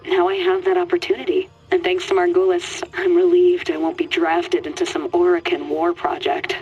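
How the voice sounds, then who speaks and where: A woman's voice: fairly low pitch, normal speed, soft background music, low-quality recording. An actress playing an idealistic, wistful woman field biologist recording an audio log in a video game. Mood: frustrated, hopeful. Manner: earnest, wistful, expressive.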